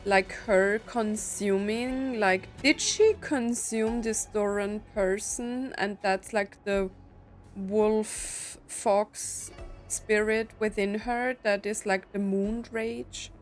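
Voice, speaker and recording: A woman's voice: medium pitch, steady tone, slow, faint music behind. A woman doing casual gameplay commentary, thinking aloud in a hesitant, musing way. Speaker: streamer reacting to a game.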